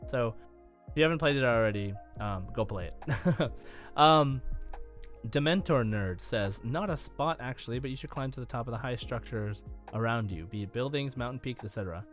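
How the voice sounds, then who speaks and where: Male podcast host, mid-pitched voice, casual gamer chat, halting and rambling, faint music behind. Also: lively intonation.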